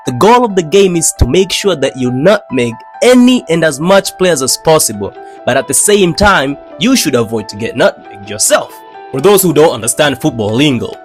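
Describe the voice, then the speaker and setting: A young man from Tanzania, narrating casually in a slangy, joking, conversational way. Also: medium pitch. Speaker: YouTube storyteller. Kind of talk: casual scripted narration for animated video. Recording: background music under the voice. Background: music.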